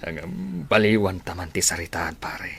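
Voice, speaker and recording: An actor with a Filipino accent playing a supportive, teasing older best friend in a radio drama, chummy and conversational. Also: male voice, sits low, very animated, moderate pace, vocal fry, a bit bright, slightly rough, heavily acted.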